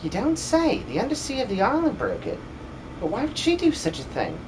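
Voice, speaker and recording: A man voicing an excitable, inquisitive adventurer sidekick in an amateur video game dub, chatty and theatrical. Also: extremely high pitch, a little fast, background noise, heavily acted. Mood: puzzled.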